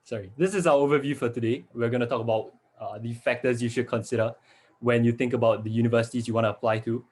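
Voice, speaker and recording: A man's voice: medium pitch, steady tone, fast talker, a little mellow, husky. A young man from Singapore, a university student, presenting in a friendly, organized way on a video call. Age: young adult. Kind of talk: webinar presentation.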